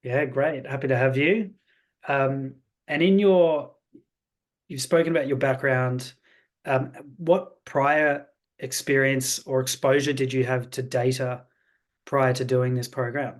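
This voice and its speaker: A man's voice: mid-range voice, fairly even intonation, measured pace. A man hosting an online information webinar in a polished, salesy way with frequent fillers. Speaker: webinar host, programme representative. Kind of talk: presenting a webinar. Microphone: video call.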